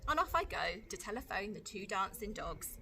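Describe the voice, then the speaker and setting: A woman's voice: medium pitch, big pitch swings, normal speed, gravelly, sharp tone, a little hiss or hum. A woman narrating a children's storybook aloud, expressively, as if to young listeners. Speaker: teaching assistant reading aloud.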